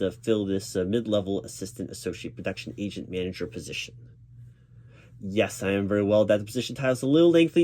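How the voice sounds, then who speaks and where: A man with a fairly low voice plays a recruiting manager in a one-sided job interview roleplay. He speaks aloud in an ASMR style, with formal office phrasing and a patient, reassuring manner toward the candidate. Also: a little mellow.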